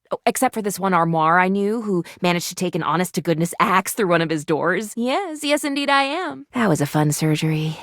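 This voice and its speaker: Eccentric female medic, game character: lively, swooping delivery, wordy and earnest, very dry close recording. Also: a little high, dry recording. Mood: wistful, musing. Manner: quirky, precise, wordy, earnestly awkward. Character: eccentric, eager female medical student, a household object come to life. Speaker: video game voice actor. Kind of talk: animated video game character dialogue.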